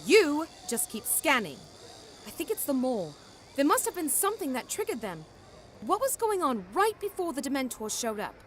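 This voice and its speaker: A woman playing a brisk, bossy young witch in a radio drama, explaining things emphatically. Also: sits high, big pitch swings, slight background noise. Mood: brisk, concerned.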